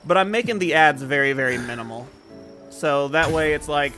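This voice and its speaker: A man's voice: fairly high pitch, normal speed, bright, forceful tone, soft background music. Laid-back, profane young man streaming. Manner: laid-back and profane, with frequent fillers and laughs. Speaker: game streamer. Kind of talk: casual stream chat.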